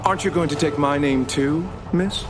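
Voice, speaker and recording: A man's voice: on the high side, normal speed, over music, natural voice. A man voicing a composed, wry travelling merchant in an anime-style game, polite and formal. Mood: polite, curious.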